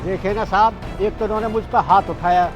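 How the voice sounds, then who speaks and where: An actor playing a polite security guard who pleads with a rude driver in a short drama skit. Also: male voice, high-pitched, steady tone, a little fast, highs cut off, soft background music, natural voice. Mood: pleading. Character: patient, polite middle-aged male security guard.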